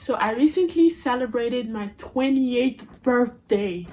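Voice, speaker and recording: Slow-talking Haitian-accented young woman. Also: on the high side, poor audio. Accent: Haitian Creole. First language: Haitian Creole. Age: 20s. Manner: chatty, playful and animated. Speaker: vlogger. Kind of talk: casual vlog to camera. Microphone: phone camera.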